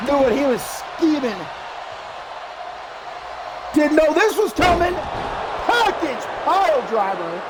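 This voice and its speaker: A man calling a wrestling match as play-by-play commentator, excitable and shouting at big moves, his voice going hoarse. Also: high-pitched, very noisy. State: losing his voice. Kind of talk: live streamed commentary.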